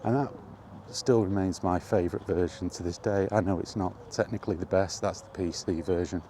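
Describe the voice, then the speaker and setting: A man's voice: sits low, fairly even intonation, a little fast, dark tone, slight background noise. A middle-aged man rambling casually in a personal gaming vlog, reminiscing in an informal way. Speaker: gaming vlogger. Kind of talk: casual rambling chat about retro gaming.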